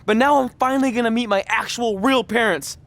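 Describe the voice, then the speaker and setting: A man's voice: extremely high pitch, brisk, vocal fry, a bit bright, heavily acted. A man acting a goofy, eager young wrestler in a video game cutscene, chatting with boyish earnestness. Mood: hopeful excitement. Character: eager goofy young male pro wrestler, wisecracking and naive.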